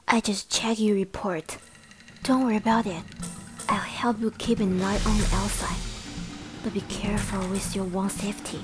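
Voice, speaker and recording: A woman acts as a steady senior female police detective in a student radio play. She has a mid-pitched voice and a Taiwanese accent, with clear music underneath. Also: moderate pace, close to an everyday voice. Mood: reassuring. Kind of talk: student English radio drama. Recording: voice over clear background music.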